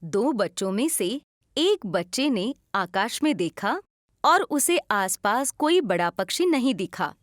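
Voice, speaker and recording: A woman's voice: a little high, sing-song, takes it slowly, no room sound, studio quality. A woman narrating a scripted story for children.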